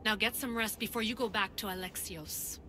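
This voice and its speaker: An actress playing a protective doctor in a game cutscene, firm and scolding but caring. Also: female voice, medium pitch, steady tone, normal speed, pushed and bright, a little hiss or hum, lightly acted. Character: protective, exasperated woman doctor. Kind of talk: dramatic game cutscene acting. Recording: reverberant hall sound.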